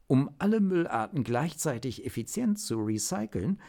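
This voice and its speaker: A man's voice: mid-range voice, very animated, normal speed, vocal fry, mellow, pitched above the performer's own voice. A man voicing an eager, inventive male tech agent who explains technical things earnestly in a story. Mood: earnest, regretful.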